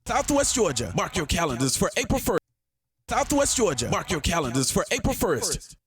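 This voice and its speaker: High, animated male party-ad announcer over music. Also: moderate pace, breathy edge, no echo. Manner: punchy, promotional delivery. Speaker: radio commercial announcer. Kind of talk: hyped club-party radio advert read.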